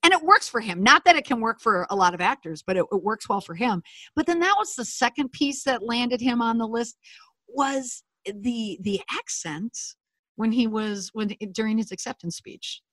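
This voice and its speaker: Lively gal talking it over, bright tense voice, laughs a lot, dry mic. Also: female voice, on the high side, moderate pace, no room sound. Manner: opinionated, animated, laughs often. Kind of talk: casual commentary chat.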